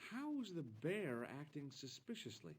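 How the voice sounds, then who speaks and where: A wisecracking young man bluffing his way around as a doctor. His voice sits fairly high and swings up and down a lot, with a dry, cheeky tone. Game dialogue. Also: very animated, moderate pace, husky. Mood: teasing. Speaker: video game protagonist.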